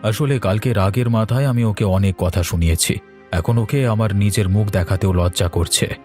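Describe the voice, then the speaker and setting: A man playing a tender, remorseful middle-aged village father in a cartoon folk tale, speaking gently and hesitantly. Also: fairly low pitch, normal speed, faint music behind, lightly acted. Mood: regretful.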